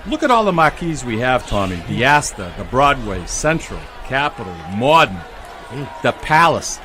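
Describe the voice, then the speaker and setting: A man's voice: mid-range voice, very animated, moderate pace. A man doing mock live parade commentary on radio, announcing absurd details in an earnest, straight-faced way. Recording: clearly noisy outdoor broadcast.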